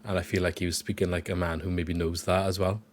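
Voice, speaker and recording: A man hosting a casual football podcast, his voice croaky from illness, talking conversationally with frequent restarts. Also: low-pitched, little pitch movement, fast talker. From Wales. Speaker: podcast host.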